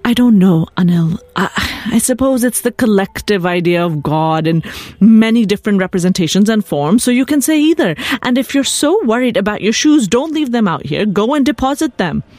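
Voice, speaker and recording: A woman reading the part of a calm, stubborn wife in a story, firm and matter-of-fact. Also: medium pitch, fairly quick, dry recording, voice pushed up, natural voice. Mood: practical, chiding.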